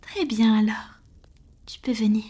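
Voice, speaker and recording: A woman's voice: fairly high pitch, steady tone, unhurried, warm tone, slightly rough. A woman playing a tender, vulnerable vampire lover in an intimate, whispered ASMR roleplay, speaking breathily and hesitantly. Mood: worried, loving.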